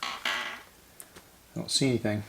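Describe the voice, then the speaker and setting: A man's voice: unhurried, slight background noise, low-quality recording. A man giving casual hands-on repair narration, thinking aloud and often correcting himself as he works. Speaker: host of a radio repair channel.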